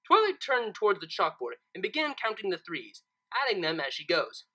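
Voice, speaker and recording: Woman, fan fiction reader, bright forceful voice, very lively pitch, theatrical, expressive. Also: moderate pace. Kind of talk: expressive story narration and casual commentary.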